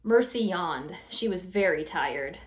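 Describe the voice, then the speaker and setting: A woman's voice: fairly low pitch, animated, slow pace. A woman reading a children's story aloud expressively, as a storytime reader. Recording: roomy echo.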